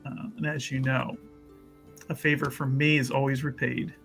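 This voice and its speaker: A man's voice: medium pitch, little pitch movement, moderate pace, gravelly, a bit bright, soft background music. A man playing a scheming, self-important nobleman-like character in a tabletop game, with formal, silky persuasion. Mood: persuasive, scheming.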